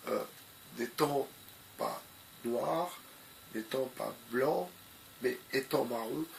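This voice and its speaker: A man's voice: medium pitch, creaky, background noise. A man with a cold giving unscripted commentary to his viewers, hesitant and full of fillers, often repeating the same phrases. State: has a cold. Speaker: video commentator.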